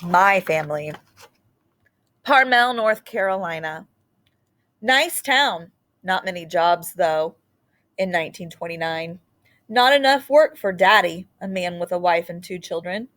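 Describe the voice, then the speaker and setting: Woman reading a picture book aloud, unhurried. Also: lowish voice, expressive, a bit bright. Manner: unhurried, short deliberate phrases with dramatic pauses. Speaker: read-aloud storyteller. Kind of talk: expressive picture-book read-aloud with brief asides.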